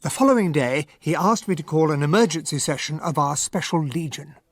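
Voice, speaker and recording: An actor with a British accent playing a polite, wry gentleman who narrates a detective radio play as the sidekick. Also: a little high, a little fast, no echo, studio quality. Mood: wry, storytelling.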